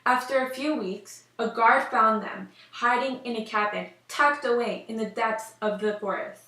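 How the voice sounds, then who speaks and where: High, bright young woman narrating a fairytale. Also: normal speed. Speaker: storyteller. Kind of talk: scripted fairy tale narration. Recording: roomy echo, distant microphone.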